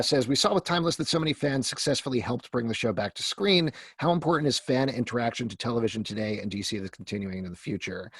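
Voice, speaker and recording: Man, moderator, middle pitch, dry close sound, casual Q&A. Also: breathy edge, dry recording.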